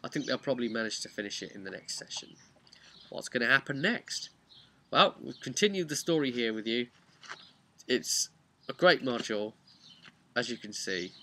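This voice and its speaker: A man's voice: medium pitch, lively intonation, normal speed, long pauses, pushed and bright. A man chattily recapping a tabletop game as its game master, slipping into casual storytelling, with some background noise.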